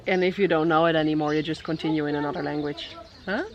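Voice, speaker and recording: A Dutch woman in her thirties, speaking English with a Dutch accent, gently interviewing her daughter for a family vlog at a safari camp. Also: low voice, steady tone, fast talker, dull audio. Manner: gentle, encouraging questioner with soft fillers. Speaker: vlogger and mother. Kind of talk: casual interview with her child. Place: outdoors at a tented safari camp. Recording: outdoor birdsong in background. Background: birdsong.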